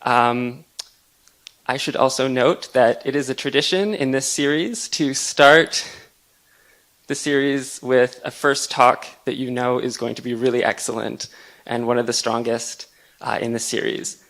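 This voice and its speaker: A man opens an evening talk in a lecture room, introducing the guest speaker. He is polite and gently teasing, with a medium-pitched voice. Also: steady tone, unhurried. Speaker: series co-chair introducing speaker. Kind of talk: event introduction.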